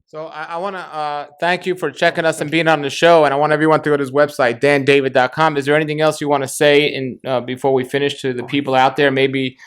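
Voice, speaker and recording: A man's voice: a little high, little pitch movement, fast talker, hardly any pauses, clear, resonant tone. A man hosting an interview show, asking rambling questions with fillers and closing with a channel outro. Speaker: show host. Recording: faint music behind.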